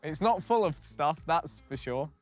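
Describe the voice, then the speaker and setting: Animated, high-voiced young British man. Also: measured pace. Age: young adult. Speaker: contestant in a storage unit challenge. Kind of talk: casual banter. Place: storage facility corridors and units. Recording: faint music under the voice.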